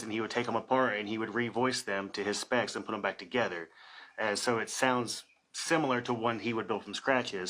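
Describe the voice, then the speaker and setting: A man's voice: medium pitch, a bit bright. A man gives an informal tutorial on music production. He speaks in plain, everyday language, explaining his process casually as a musician who does the work himself.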